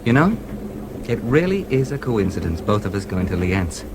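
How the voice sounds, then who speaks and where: A man's voice: on the low side, sing-song, moderate pace. An actor playing a confident, genial American newspaperman in a radio drama, with an American accent. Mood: explanatory. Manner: genial, breezy, self-assured. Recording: radio play with clear background noise.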